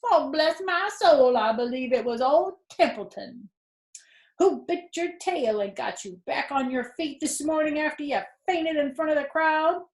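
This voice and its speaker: A put-upon, sarcastic storybook rat played by a woman, griping and mocking in a theatrical way. The voice sits high above an everyday register, and the room adds a clear echo around it. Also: a little slow. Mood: indignant, boastful. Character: greedy, sarcastic, complaining male rat, self-pitying and put-upon. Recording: roomy indoor echo.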